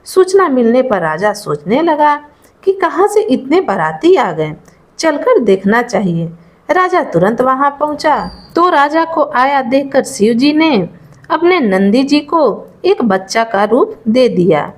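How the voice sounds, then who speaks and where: Slow, high-pitched woman storyteller. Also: big pitch swings. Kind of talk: scripted moral folk tale narration.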